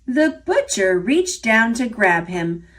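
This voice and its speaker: High, animated American woman reading stories. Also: takes it slowly. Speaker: children's storybook reader. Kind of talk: read-aloud storytelling for young children.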